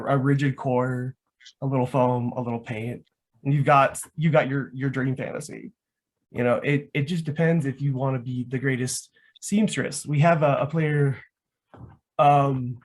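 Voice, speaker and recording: A man's voice: mid-range voice, low-quality recording. A man talking casually and enthusiastically as a guest about his hobby, rambling and saying "like" often. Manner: enthusiastic, rambling, frequent "like" as filler. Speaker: guest, LARP foam crafter.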